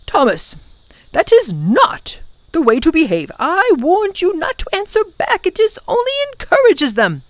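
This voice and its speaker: A woman voicing a stern professor in a children's storybook, admonishing unruly youngsters in a sharp, commanding way. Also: very high-pitched, huge pitch swings, measured pace, no echo, voice pushed up, exaggerated voice.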